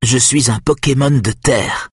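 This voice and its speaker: A man's voice: mid-range voice, a little slow, slightly rough, no room sound, pitched above the performer's own voice. A man voicing a big childlike young man, anxious and innocent, who talks in a simple, eager way close to tears. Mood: pleased.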